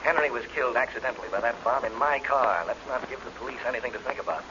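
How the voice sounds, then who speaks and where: An actor playing a smooth-talking, calculating secret lover in a 1940s radio crime drama. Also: male voice, medium pitch, very fast, natural voice. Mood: sweet, calculating. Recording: noisy, muffled old radio recording.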